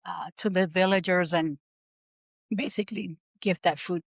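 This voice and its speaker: A woman, telling her story as a guest in a podcast interview, earnest and pausing often on "um". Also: fairly low pitch, gravelly, no room sound, clean studio sound. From Puerto Rico. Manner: earnest storytelling with frequent "um" pauses.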